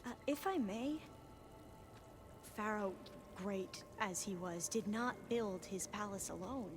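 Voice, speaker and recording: An actress playing a cautious expedition researcher in a video game, explaining things politely and hesitantly. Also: female voice, mid-range voice, takes it slowly, over music, natural voice. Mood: tentative, explanatory.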